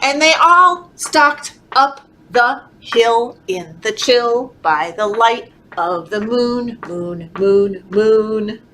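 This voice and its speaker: A woman leading a children's story time, reading aloud in an animated, encouraging, sing-song way, as if talking to toddlers. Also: on the high side, extremely slow, hardly any pauses. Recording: clearly noisy background.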